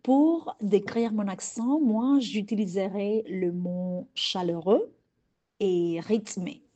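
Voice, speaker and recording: A woman French teacher from Cuba with a Cuban accent, Spanish her first language, speaking in a calm, deliberate and earnest way. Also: mid-range voice. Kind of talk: prepared personal message.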